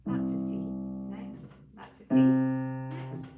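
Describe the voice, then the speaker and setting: A woman with a low voice giving a casual piano lesson, explaining calmly while playing notes, recorded from a distance in a room with a piano. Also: slow, reverberant. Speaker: piano teacher.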